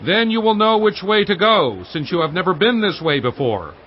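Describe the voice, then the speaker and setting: A man's voice: high-pitched, big pitch swings, moderate pace, slight background noise. An actor playing an authoritative officer giving orders to a camp in a dramatized audio Bible, projecting his words. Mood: commanding. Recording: slightly dull audio with background ambience.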